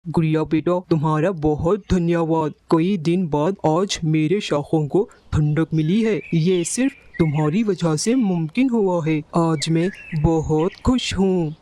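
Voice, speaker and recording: Slow, pleading old-style talking tree acted by a man. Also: on the high side, warm tone, close to an everyday voice. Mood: grateful. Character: huge thirsty sorrowful talking male mango tree. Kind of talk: Hindi children's cartoon story acting.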